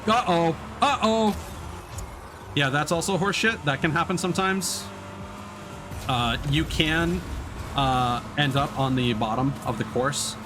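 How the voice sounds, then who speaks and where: A man's voice: fairly high pitch, unhurried, pauses often, noisy background. A man giving live video game commentary as a streamer, sweary and grumbling as he talks. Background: racing game music and engine sounds.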